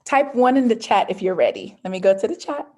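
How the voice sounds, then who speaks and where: A woman presents online in a mid-pitched voice. She is emphatic and engaging, addressing her listeners directly as a guest speaker on a video call. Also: lively intonation, a little fast, darkish tone. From born in Jamaica, grew up in Georgia, USA. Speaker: pediatric dentist, guest speaker. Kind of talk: prepared, interactive online presentation. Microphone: computer on a video call.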